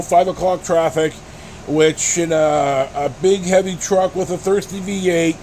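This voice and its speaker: A middle-aged American man vlogging in a casual, rambling storytelling style, halting and blunt, with some mild swearing. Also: high voice. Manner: halting, blunt, mildly profane. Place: inside a pickup truck on the road. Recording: steady vehicle cabin noise.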